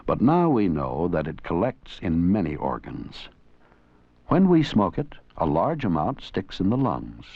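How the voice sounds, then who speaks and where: A man's voice: deep voice, no room sound. A man narrating an educational film from a script, in an earnest, didactic way. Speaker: documentary narrator and presenter. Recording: dull 1980s educational film soundtrack.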